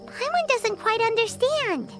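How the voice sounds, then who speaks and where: A woman voicing a tiny, bubbly companion girl who is chirpy and excitable, over loud game music. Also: very high voice. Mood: confused. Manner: chirpy, excitable, talks fast. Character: tiny bubbly floating companion girl who speaks of herself in third person.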